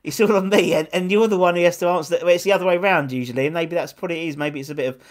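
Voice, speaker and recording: A man's voice: high voice, rapid-fire. A man hosting a casual video-call chat from home, rambling with lots of restarts. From England. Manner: rambling, chatty, many restarts. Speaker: host. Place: home room lit pink. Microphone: wired earphones on video call.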